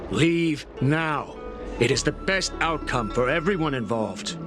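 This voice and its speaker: An actor playing a stern official in a video game, speaking in a firm, cold, commanding way. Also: male voice, fairly high pitch, animated, a little slow. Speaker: alchemy official. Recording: game music underneath. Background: game music.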